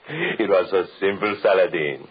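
Deep, husky villainous magician. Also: male voice, full character voice. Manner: deliberate, menacing, theatrical. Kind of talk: 1940s radio horror acting. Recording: muffled 1940s radio recording.

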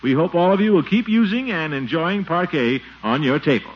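Flustered jolly radio comedy man, high voice all over the place, chuckly, muffled old recording. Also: animated, normal speed, slightly rough. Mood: jovial, grateful. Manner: chuckling, exclaiming, theatrical. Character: jolly, flustered middle-aged man doting on a baby. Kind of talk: 1940s radio comedy acting. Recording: noisy, dull 1940s radio recording.

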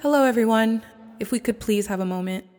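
A woman's voice: on the high side, a little fast, darkish tone, no echo, clean studio sound, soft background music, natural voice. A woman playing a pragmatic, sober survivor camp official in an audio drama, matter-of-fact and natural. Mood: formal.

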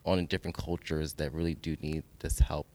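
A man's voice: extremely low pitch, flat delivery, a little fast, a little mellow. An American man hosting a casual podcast conversation. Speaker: podcast host.

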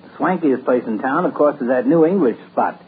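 An actor playing a genial, lovestruck bachelor town doctor in 1940s radio comedy style, jovial and dreamy. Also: male voice, mid-range voice, animated, fairly quick, slightly rough. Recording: tinny lo-fi old radio broadcast.

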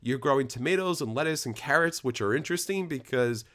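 A man's voice: mid-range voice, animated, quick pace, a bit bright. A man co-hosting a podcast, talking in a casual, chatty and enthusiastic way with frequent restarts. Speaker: podcast co-host. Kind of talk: casual chat.

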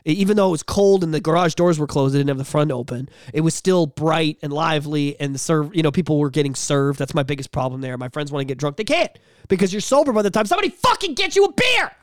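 Lively high-voiced man telling stories. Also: fast, no echo, clean studio sound. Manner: animated storyteller who sings and hums bits of songs, swears freely. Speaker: podcast co-host and musician. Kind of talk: casual podcast chat.

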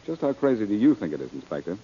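Old 40s radio show, smug wisecracking sleuth, lively up and down delivery, muffled vintage sound. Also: male voice, fairly low pitch, very fast, slightly rough. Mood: confident, explaining. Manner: confident, wry, needling. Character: cool, wisecracking male amateur sleuth explaining a crime. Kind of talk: 1940s radio detective drama acting. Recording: dull lo-fi vintage radio recording.

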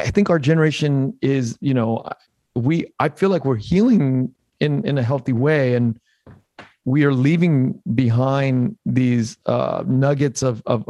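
A man hosting a casual interview podcast, speaking earnestly and haltingly as he searches for his words. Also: medium pitch, dark tone. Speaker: podcast host.

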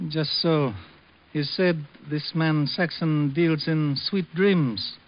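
A man's voice: on the high side, very slow. An actor playing a courteous, cagey old Chinese man with a put-on Chinese accent and formal, cryptic phrasing in a vintage radio drama. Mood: cagey, measured. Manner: formal, cryptic, pidgin-like clipped grammar. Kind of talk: 1950s radio drama acting. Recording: muffled vintage radio recording.

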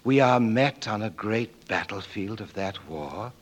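An actor playing an earnest, loyal English gentleman's valet, polite and solemn, in 1940s radio style. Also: lowish voice, lively intonation, unhurried. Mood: solemn recitation.

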